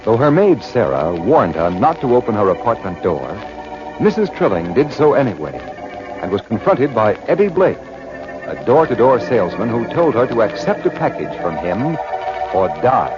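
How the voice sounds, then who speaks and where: A man's voice: medium pitch, sing-song, moderate pace. A man announcing in 1940s radio style, reading sponsor copy and story recaps as scripted narration. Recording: dull 1940s radio recording with music.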